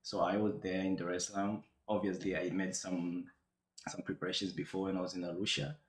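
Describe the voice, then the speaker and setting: A young man from Tanzania, a guest telling his story in a relaxed, chatty way. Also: sits low, a little fast, reverberant. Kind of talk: casual interview chat.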